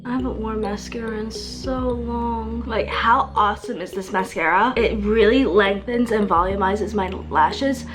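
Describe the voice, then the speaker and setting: A woman's voice: medium pitch, measured pace, hardly any pauses. A woman talking casually as the host of a makeup tutorial, explaining each step in a chatty way. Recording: music behind the voice. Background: music.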